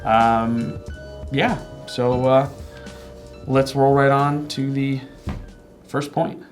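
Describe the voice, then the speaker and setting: A man's voice: medium pitch, fairly even intonation, very slow. A man hosting and judging a casual gaming discussion show in a conversational style. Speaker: host and judge of a debate show. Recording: music playing behind.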